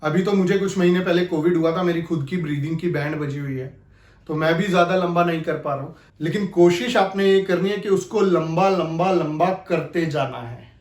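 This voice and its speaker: A man with a notably high-pitched voice presents an acting lesson. He explains things directly to the viewer in an instructional way. The room adds a clear echo to his voice. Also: normal speed, a little mellow. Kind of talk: instructional video talk with spoken demonstrations. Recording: echoey, reverberant room.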